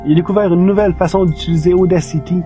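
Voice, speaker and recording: A man's voice: high voice, fairly even intonation, rapid-fire, mellow. A man with a Quebec French accent giving an enthusiastic, informal podcast review from his notes. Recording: music behind the voice. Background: music.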